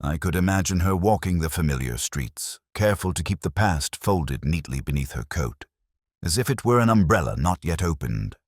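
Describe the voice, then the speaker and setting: A man narrating a first-person detective story in a measured, solemn, literary manner. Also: deep voice, lively intonation, no room sound, studio quality.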